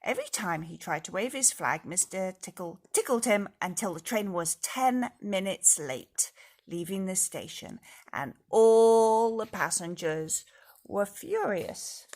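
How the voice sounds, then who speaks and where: Woman reading a picture book to little kids, raspy with lots of fry, expressive storytime delivery. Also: mid-range voice, a little slow. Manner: expressive, addresses young listeners directly. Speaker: teacher reading aloud to children. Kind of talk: storybook read-aloud with casual asides.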